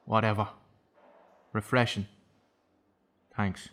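A man's voice: on the low side, flat delivery, slow pace, a bit bright. An actor playing a guarded, sardonic young man in prison, evasive and sarcastic, in a naturalistic audio drama. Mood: dry, sardonic.

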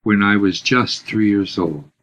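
A man in his eighties, a priest being interviewed, telling stories of his childhood slowly, with many pauses, his voice swelling and then dropping almost to a whisper. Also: fairly low pitch, a bit bright, dull audio. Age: 80s. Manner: unhurried, pausing often, swells and drops to near whisper. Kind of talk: slow reflective storytelling.

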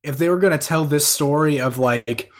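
A man on a casual discussion panel, informal and halting as he circles around his point. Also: on the high side. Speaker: podcast panelist.

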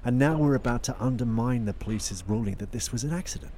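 A man's voice: mid-range voice, quick pace, dark tone, slight background noise, lightly acted. A man acting in an audio drama as an uneasy, doubting private investigator with a hesitant, questioning way of talking. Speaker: voice actor.

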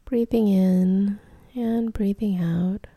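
A woman guides a meditation in a deeply relaxed, dark voice. She speaks slowly and gently, almost whispering, and leaves long pauses. The sound is close and dry, with no room around it. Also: gravelly, dry recording.

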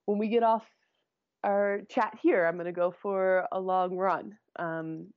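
A woman's voice: medium pitch, steady tone, unhurried, no echo. Woman guest, casual talk, hesitant restarts, dry close sound, muffled lo-fi line. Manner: thinks aloud, restarts and repeats words. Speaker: interview guest. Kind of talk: casual conversation.

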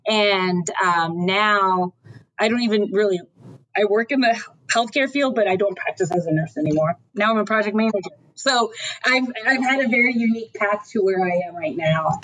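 A woman's voice: medium pitch, moderate pace, fairly bright tone, rough recording. A woman from Ohio in casual conversation, telling personal stories with animation and acting out the people she quotes. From Ohio, USA.

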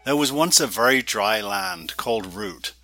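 A man's voice: on the low side, expressive, a little slow, bright, forceful tone, faint music behind. A man reading a parable aloud in the style of an audiobook. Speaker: reader.

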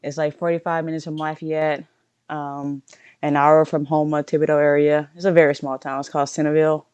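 Young louisiana woman chatting easy on a podcast, smooth voice, dry close sound. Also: deep voice, a little fast, no echo, muffled recording. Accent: Southern Louisiana. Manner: relaxed, restarts phrases often. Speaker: guest, powerlifter. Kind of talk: casual interview answers.

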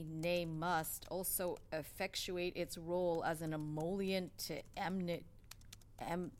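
Dry, lowish-voiced office woman by an actress. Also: a little hiss or hum, lightly acted. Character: dry, critical female office colleague reading aloud. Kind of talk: satirical audio drama acting.

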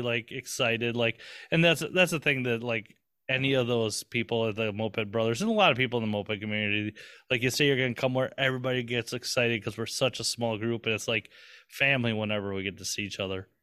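A man's voice: mid-range voice, fairly quick, sharp tone, clean tone, no room sound, studio quality. A man co-hosting a podcast in casual chat, rambling and swearing casually with lots of restarts. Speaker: podcast co-host.

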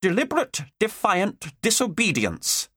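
Man playing a severe, sarcastic woman schoolteacher, pitched up. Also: huge pitch swings, fairly bright tone, dry recording, clean studio sound, heavily acted. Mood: stern, angry. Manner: acid sarcasm, severe, deliberate emphatic delivery. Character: furious, sarcastic woman schoolteacher, a fearsome disciplinarian.

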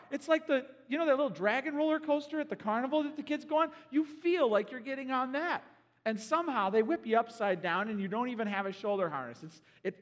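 High-voiced hoarse pastor in a reverberant hall. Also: male voice. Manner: conversational, joking, animated preaching. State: slightly hoarse. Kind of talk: sermon. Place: church sanctuary.

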